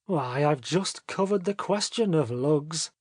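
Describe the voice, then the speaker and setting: A man's voice: fairly high pitch, animated, measured pace, darkish tone, husky, no echo, studio quality. An actor playing an earnest older businessman father in a dramatic audiobook reading. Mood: earnest, puzzled.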